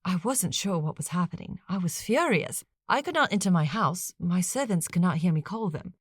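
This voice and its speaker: Woman reading as a formal colonial gentleman. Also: fairly low pitch, animated, husky, dry recording, studio quality. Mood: measured, rueful. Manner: formal, measured, old-fashioned wording. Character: courteous, rueful 18th-century gentleman, a lonely cursed man.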